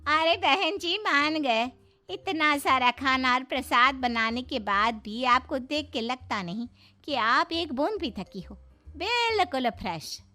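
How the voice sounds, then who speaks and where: A woman's voice: high voice, expressive, slow, pushed and bright, faint music behind, heavily acted. A woman playing a chatty, frank young married neighbour with a gossipy, emphatic manner in a cartoon moral story. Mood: flattering.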